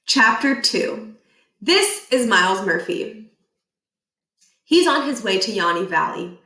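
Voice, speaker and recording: A woman reading a children's book aloud expressively, with deliberate emphasis and a playful deadpan touch. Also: medium pitch. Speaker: read-aloud storyteller. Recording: echoey room.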